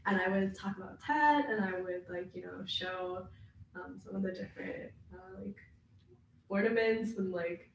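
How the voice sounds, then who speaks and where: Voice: medium pitch, moderate pace, creaky, a little hiss or hum. Young adult co-host, distant echoey sound. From United States. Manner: thoughtful, hedging, self-deprecating. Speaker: podcast co-host. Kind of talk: casual podcast chat.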